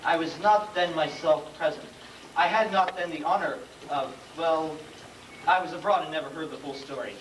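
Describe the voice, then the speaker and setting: Guy doing a flustered polite chancellor in a comic play, slightly high voice, noisy room. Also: lightly acted. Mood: flustered, formal. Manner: formal, halting, obsequious. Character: deferential, long-winded royal chancellor, tactful and flustered. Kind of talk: stage comedy acting. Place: school stage, live play performance. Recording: distant, noisy, echoey room recording of play.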